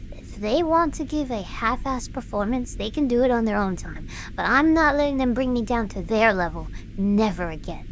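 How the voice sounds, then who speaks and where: A woman's voice: fairly high pitch, lively intonation, normal speed, hardly any pauses, slight background noise, poor audio. Woman acting a driven, stubborn young performer. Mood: frustrated, defiant. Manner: intense, stubborn, earnest. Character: driven, defiant young woman performer, bitter but passionate. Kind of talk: audio drama acting.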